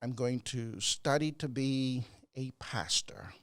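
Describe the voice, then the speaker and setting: An older man from the Bahamas, a psychologist guest, telling his story in a measured, gracious way and repeating words as he builds a point. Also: mid-range voice, a bit bright, no room sound. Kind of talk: conversational storytelling.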